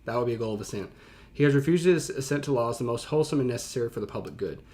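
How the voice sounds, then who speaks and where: A man's voice: mid-range voice, lively intonation, very fast, darkish tone. A man with a mid-range voice reacts and explains in the way of a teacher. He talks casually and conversationally, leaning on key words for emphasis.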